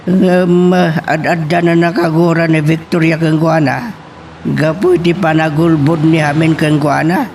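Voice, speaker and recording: A man's voice: a little high, steady tone, measured pace, darkish tone, a little hiss or hum. A man playing a caring, fussing father of a grown daughter in an audio drama. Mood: concerned.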